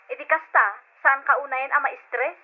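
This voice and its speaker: A woman's voice: sits high, steady tone, normal speed, lightly acted. An actress playing a hurt, fed-up girlfriend in an old radio drama, speaking with reproach. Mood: hurt, reproachful. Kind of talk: old-time radio drama acting in Ilocano. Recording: thin phone-like radio drama sound.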